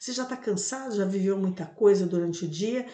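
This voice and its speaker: A Brazilian woman speaks as a church teacher, with a soft-edged, warm and dark tone at a middle pitch. She explains step by step in a didactic devotional style, posing questions and adding little tag questions. The sound is roomy, with clear echo around her voice. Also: a little fast. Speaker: evangelical bishop and Bible teacher. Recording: roomy, echoing room sound.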